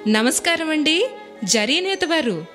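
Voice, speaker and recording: Woman acting a excitable high-voiced little mouse. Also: measured pace, faint music behind, exaggerated voice. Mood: polite asking. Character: small vain, bossy, excitable mouse. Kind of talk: storybook character reading.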